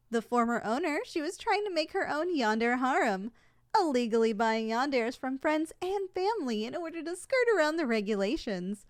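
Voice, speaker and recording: Female actor as polite, unflappable saleswoman for an audio roleplay; high, very animated voice, formal sales patter. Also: dry recording, studio quality. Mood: cautioning. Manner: formal, courteous, matter-of-fact about danger. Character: polite, unflappable saleswoman giving a yandere shop tour.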